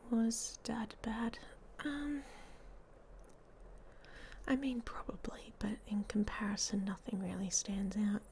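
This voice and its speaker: A woman playing a guarded, hot-tempered young werewolf in an ASMR roleplay, speaking in breathy half-whispers with a hesitant, dryly blunt manner. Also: medium pitch, little pitch movement, slow pace, dark tone. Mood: subdued, reflective. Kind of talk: ASMR roleplay audio story, intimate monologue. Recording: faint background noise.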